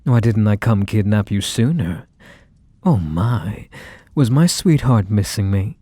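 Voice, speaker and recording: A man's voice: fairly low pitch, lively intonation, warm tone, no echo. A man playing a possessive, teasing mafia boss lover in an intimate ASMR roleplay, speaking in a mock-sweet, condescending way full of menacing affection. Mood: smooth, condescending. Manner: unhurried, mock-sweet, condescending pet names. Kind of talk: ASMR roleplay, intimate one-sided dialogue.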